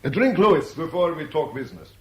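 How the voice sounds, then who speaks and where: An actor playing an arrogant, calculating, suave manipulator in a 1970s radio drama, with an urbane, measured, condescending delivery. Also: male voice, fairly high pitch, sing-song, breathy edge. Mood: smoothly mocking. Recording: hissy 1970s radio broadcast recording.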